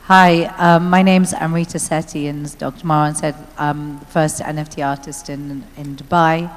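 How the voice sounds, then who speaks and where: A woman's voice: low voice, steady tone, normal speed. A woman artist introducing herself conversationally as a panelist at a conference. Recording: echoey hall, faint ambient noise.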